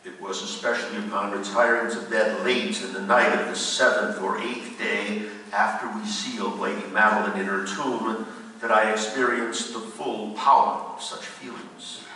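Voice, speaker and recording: An actor playing an anxious, earnest gentleman in a gothic radio drama, speaking in formal, dramatic language. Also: on the low side, fairly even intonation, moderate pace. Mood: uneasy. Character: anxious, earnest gentleman friend narrating a gothic horror tale. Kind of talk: theatrical narration and radio drama acting. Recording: very echoey hall, distant mic, faint noise.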